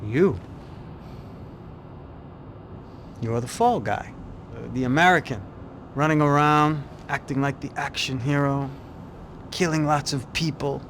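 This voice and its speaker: An actor playing a shrewd, world-wise police officer in a video game drama, speaking in a mocking, probing, deliberate way. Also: male voice, mid-range voice, fairly bright tone, natural voice. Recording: noisy street with cars behind.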